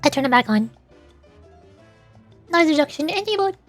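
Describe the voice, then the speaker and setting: A woman's voice: high-pitched, expressive, normal speed, faint music behind. A giggly woman streamer chatting casually to her viewers on a livestream.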